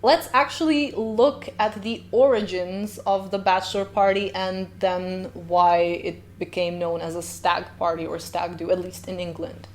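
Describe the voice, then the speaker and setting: A woman, a linguist hosting a casual reaction video, chatty and playful with tangents. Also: mid-range voice. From Slovenia. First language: Slovenian. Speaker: host, linguist.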